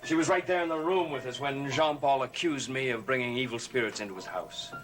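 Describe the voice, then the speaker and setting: An actor playing a scheming, evasive occultist relative in a gothic television soap, speaking in a measured, conspiratorial way. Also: male voice, mid-range voice, a bit bright, faint music behind, close to an everyday voice, rough recording. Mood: sly, insinuating. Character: scheming, smooth male occultist relative after an inheritance.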